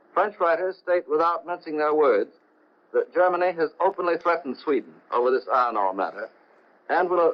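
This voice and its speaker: A man reporting overseas news on 1940s radio in a measured, formal way with occasional hesitations. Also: fairly high pitch. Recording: dull, lo-fi 1940 shortwave radio broadcast.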